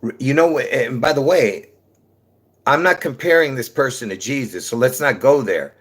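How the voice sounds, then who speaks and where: A man's voice: mid-range voice, moderate pace, husky. Rambling man with a New York accent. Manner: repetitive, restarts often, many fillers, calls listeners folks. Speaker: livestream host. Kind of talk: rambling livestream monologue to viewers.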